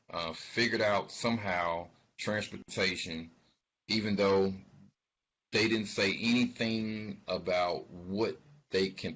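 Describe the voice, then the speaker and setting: A man giving informal financial commentary, speaking haltingly and emphatically, repeating words for stress. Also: fairly low pitch, a bit bright. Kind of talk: informal spoken commentary on an earnings call. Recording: dull, lo-fi sound.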